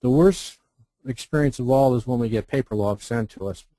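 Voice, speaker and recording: A man's voice: medium pitch, moderate pace, dark tone, dry recording. An American man giving an informal instructional presentation, explaining things by posing questions and then answering them. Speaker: presenter, contest representative.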